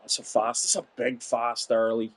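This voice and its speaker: A man's voice: mid-range voice, flat delivery, moderate pace, a little hiss or hum. A man in his forties giving chatty live commentary on a golf game, talking straight to his viewers. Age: 40s. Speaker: game streamer.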